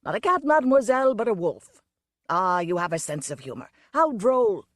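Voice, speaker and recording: A woman's voice: mid-range voice, big pitch swings, moderate pace, no room sound, studio quality, natural voice. A woman doing a fawning circus owner man. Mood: placating. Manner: conciliatory and ingratiating. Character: fawning middle-aged male circus owner.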